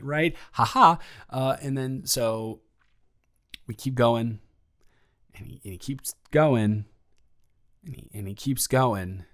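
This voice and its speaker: A man playing a flustered, goofy roommate confessing love to a friend, rambling casually and haltingly in an improvised audio roleplay. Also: mid-range voice. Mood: jokey, rambling. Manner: halting, stammering, animated, jokey.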